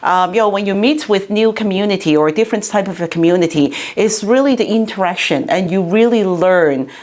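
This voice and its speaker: A woman's voice: mid-range voice, brisk, a little mellow. A middle-aged woman from Hong Kong, a city councillor, answering interview questions in a chatty way with many fillers and restarts.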